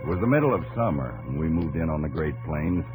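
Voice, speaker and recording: A man's voice: a bass voice, animated, fast talker, music in the background, rough recording. An American actor playing a plainspoken, stubborn pioneer farmer who tells his story in a folksy drawl, in a 1950s radio drama. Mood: reminiscing. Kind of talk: 1950s radio drama acting, narrating in character. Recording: old 1950s radio broadcast recording.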